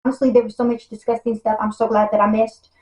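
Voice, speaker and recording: Casting: blunt woman, mid-pitched, rapid casual delivery, echoey clip. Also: flat delivery, darkish tone, slight background noise. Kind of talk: casual candid talk.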